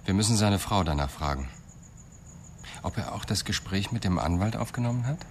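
Ein Schauspieler spielt im Hörspiel einen gefassten Polizeiermittler, der bedächtig und forschend fragt. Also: male voice, on the low side, expressive, slight background noise. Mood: calm, probing. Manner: measured, probing questions. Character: composed, probing male police detective. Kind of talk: radio drama dialogue.

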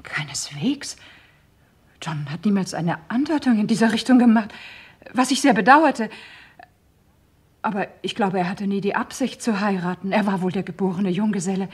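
An actress as an earnest divorced woman in a crime radio play. Her voice is slightly husky at a middle pitch, and she speaks with measured, regretful feeling that can sink into a hush. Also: lightly acted. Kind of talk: German radio crime drama acting.

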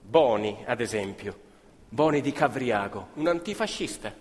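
A man's voice: medium pitch, expressive, unhurried, breathy edge. A man telling a scripted story as a stage narrator in radio theatre, with a dramatic, emphatic delivery. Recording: live violin and music behind.

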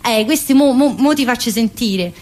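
A woman's voice: fairly high pitch, normal speed, a little mellow, natural voice. An actress playing a chatty, wistful mother in prison, in a colloquial theatrical monologue with a Southern Italian, Neapolitan-tinged accent. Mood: eager, chatty. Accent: Southern Italian, Neapolitan-influenced. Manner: colloquial, addressing listeners with frequent tag questions.

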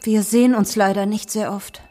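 A woman's voice: medium pitch, fairly even intonation, moderate pace, a little mellow, natural voice. An actress playing an aloof, theatrical society mother in a radio crime drama, languid and guarded. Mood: aloof, evasive.

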